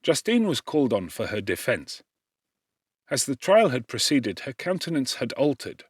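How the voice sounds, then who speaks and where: A man narrating a classic literary audiobook in a solemn, expressive and measured way. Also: medium pitch, husky, no echo, studio quality.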